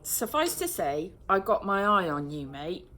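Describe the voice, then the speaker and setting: A man's voice: high-pitched, very animated, unhurried, pushed and bright, full character voice. A woman playing a smug, smirking police sergeant. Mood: gruff. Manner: smirking, cliche-loving, dry. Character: smug middle-aged male police sergeant.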